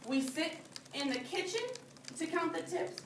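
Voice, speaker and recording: A high-pitched woman reads a children's story aloud in the manner of a classroom teacher, stopping to explain it simply. She is some way from the microphone in a roomy, echoing space, with a little background noise. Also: a little slow, recorded from a distance. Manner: simple explanatory phrasing, addressing small children. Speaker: teacher reading a picture book aloud to young children. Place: indoor classroom.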